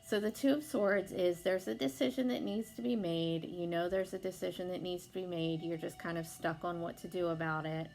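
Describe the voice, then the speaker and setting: A young woman with a hoarse voice from being sick, chatting casually as she gives a tarot reading, with lots of fillers and some swearing. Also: fairly low pitch, brisk, hardly any pauses, a little mellow. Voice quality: hoarse, gravelly. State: sick, losing her voice. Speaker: tarot reader.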